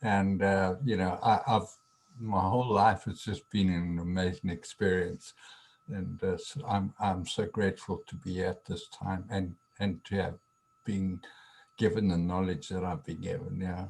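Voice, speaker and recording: Deep husky-voiced male guest. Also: level tone, darkish tone, poor audio. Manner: halting, many ums and restarts. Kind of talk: casual interview talk.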